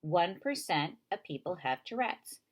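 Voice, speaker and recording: A woman's voice: fairly low pitch, big pitch swings, unhurried. A woman voicing a skeptical, reluctant schoolboy in a children's novel read aloud, with a guarded, grudging manner.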